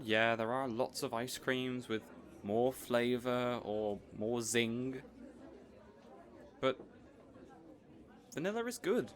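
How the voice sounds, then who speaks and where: A man playing a boyish, caring supervillain boss in an audio roleplay, earnest and rambling, hesitant yet excitable. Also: medium pitch. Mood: defensive, cheerful.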